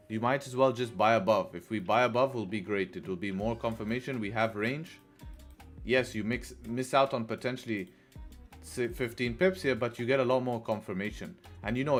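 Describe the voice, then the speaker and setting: Young man streaming with a headset. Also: fairly low pitch. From Dubai. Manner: relaxed, repeats phrases, says bro and man. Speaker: forex trading streamer. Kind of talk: casual live-stream commentary. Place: small room indoors.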